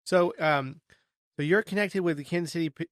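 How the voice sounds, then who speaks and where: A man's voice: fairly high pitch, a little fast, no room sound, studio quality. A man hosting a podcast interview, asking questions in a casual, affirming way. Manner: affirming, casual, brief backchannels. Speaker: podcast host.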